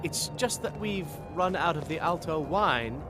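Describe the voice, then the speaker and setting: A man's voice: a little high, expressive, measured pace, over music. A man playing a deferential, anxious servant tending bar in a video game, apologetic and obsequious. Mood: apologetic, meek.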